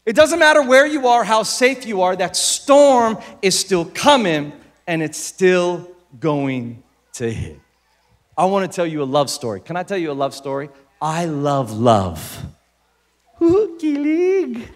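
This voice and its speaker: A middle-aged man with an American accent, raised in the Philippines, preaching a sermon with jokes and sudden loud bursts. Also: high voice, unhurried. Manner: animated, jokey, bursts into shouts. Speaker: pastor. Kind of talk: sermon with comic storytelling.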